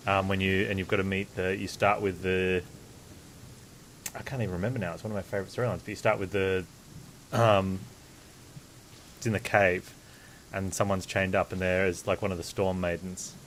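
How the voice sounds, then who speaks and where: A man's voice: deep voice, level tone, moderate pace, long pauses, a little hiss or hum, poor audio. A man giving casual, offhand gameplay commentary as a streamer, rambling a little over his mic. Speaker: game streamer.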